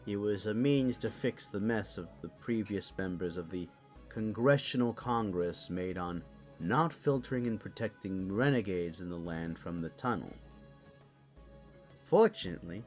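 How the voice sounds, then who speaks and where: A man playing a dignified senior teacher and councilman in a fantasy audio drama, formal and deliberate in speech. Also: fairly low pitch, lightly acted.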